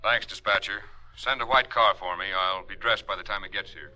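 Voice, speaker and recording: A man's voice: sits low, fairly even intonation, brisk, pushed and bright. An actor playing a terse, commanding male police lieutenant in an old radio crime drama. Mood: curt. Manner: terse, matter-of-fact, authoritative. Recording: faintly noisy old radio recording.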